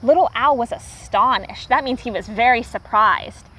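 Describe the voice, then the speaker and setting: A woman teacher reading aloud to young children, pausing to explain words simply and repeating herself for clarity. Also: a little high, fairly bright tone, slightly rough, low-quality recording.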